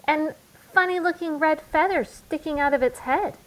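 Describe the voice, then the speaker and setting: A woman's voice: sits high, normal speed, fairly bright tone, pitched above the performer's own voice, rough recording. A woman voicing an eager, earnest little girl bear cub in a children's storybook. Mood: eager fibbing.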